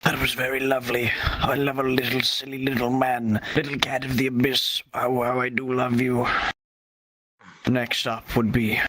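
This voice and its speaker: A man's voice: mid-range voice, level tone, moderate pace, a bit bright. A man hosting a community meetup who introduces presenters with playful jokes. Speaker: event host. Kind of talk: casual hosting.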